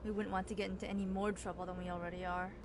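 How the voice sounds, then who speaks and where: A woman's voice: medium pitch, flat delivery, rapid-fire, a little hiss or hum, lightly acted. A woman playing a bossy, inquisitive and guarded young woman in a visual novel game. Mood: dry, guarded.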